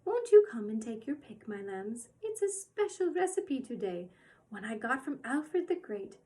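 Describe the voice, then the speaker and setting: A woman voicing a tiny, bossy old woman who runs a shop, with a shrill, squeaky voice that turns from scolding to sweetly coaxing. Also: very animated, normal speed.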